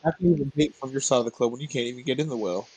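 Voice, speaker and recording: A man's voice: mid-range voice, racing, a little mellow, husky, dry recording, poor audio. A man playing an online tabletop game, chatting and joking casually. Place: online voice call.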